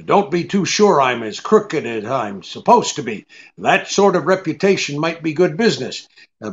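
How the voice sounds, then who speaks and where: An actor playing a cynical, unyielding private detective in a 1940s-style radio drama, with terse, halting tough talk. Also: male voice, on the high side, fairly bright tone, highs cut off. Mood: cool, hard.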